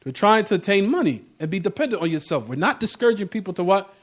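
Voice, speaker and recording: Male Islamic class teacher, notably high voice, emphatic informal lecturing with repetition and rhetorical check-ins. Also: big pitch swings, fast talker. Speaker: religious teacher giving a class.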